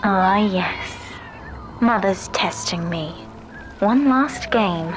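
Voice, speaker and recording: A woman playing a resolute, rebellious young daughter in a video game, speaking with deliberate, self-assured defiance. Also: mid-range voice, expressive, noisy background. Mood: quiet defiance. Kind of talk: dramatic video game voice acting.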